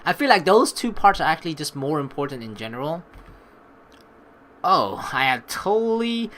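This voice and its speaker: A woman's voice: low-pitched, sing-song, moderate pace, fairly bright tone, a little hiss or hum. A woman with a playful, teasing manner, commentating on a game and reading its story text aloud. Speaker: let's player. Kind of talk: gaming commentary mixed with reading visual novel text aloud.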